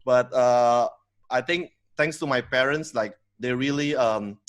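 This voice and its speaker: Halting man from Indonesia, full of fillers. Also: mid-range voice. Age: 30s. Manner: hesitant, many fillers and restarts, laughs easily. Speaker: podcast guest, company co-founder. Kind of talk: casual interview storytelling.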